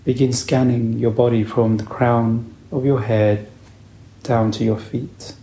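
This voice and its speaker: A man leading a guided meditation as a teacher, calm, unhurried and soothing in his instruction. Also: medium pitch, reverberant, slight background noise, poor audio.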